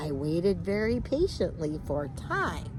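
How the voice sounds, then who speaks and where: A woman reading a picture book aloud to young children in an expressive, chatty way with casual asides and exclamations. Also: on the low side, slow pace.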